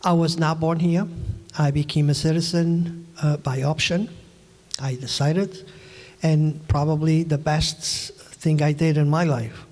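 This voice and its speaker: A man from the Azores, a mayoral candidate, giving a halting forum speech with many fillers. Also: a little high, fairly even intonation, darkish tone. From Azores, Portugal. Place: community forum hall. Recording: long hall reverb.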